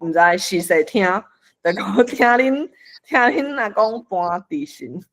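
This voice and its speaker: Forum host, Taiwanese accent, mid pitch, informal moderating. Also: lively intonation, a little slow, creaky, fairly bright tone. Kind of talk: informal online event moderation.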